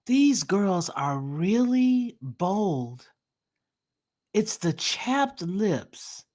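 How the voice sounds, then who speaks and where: A woman's voice: medium pitch, big pitch swings, extremely slow, a little mellow. A woman giving blunt, mocking commentary as she reacts to a dating show. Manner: sassy, incredulous, blunt.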